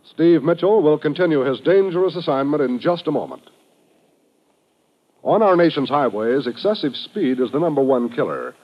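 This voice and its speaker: A man's voice: on the high side, lively intonation, moderate pace, a little hiss or hum. A man with an American accent reading a scripted announcement as a 1950s radio announcer. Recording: muffled old radio recording.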